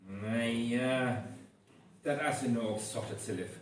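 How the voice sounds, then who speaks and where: A man's voice: mid-range voice, slow, lightly acted. An actor playing a talkative local man with a North Frisian accent in a live radio play. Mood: explaining. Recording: very echoey live stage recording, distant mic. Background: piano music.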